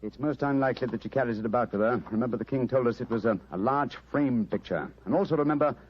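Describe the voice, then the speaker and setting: A man acting a brisk, fast-talking British detective. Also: mid-range voice, breathy edge, close to an everyday voice. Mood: intent. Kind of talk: 1940s radio drama acting. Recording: dull vintage radio recording.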